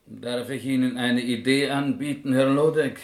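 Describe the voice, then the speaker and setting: A man's voice: medium pitch, steady tone, normal speed, close to an everyday voice. An actor playing a polite, anxious, mild-mannered man with an Eastern European accent in a radio drama, speaking formal German with inverted word order. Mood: courteous, reproachful. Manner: courteous, formal, inverted sentence order, questioning.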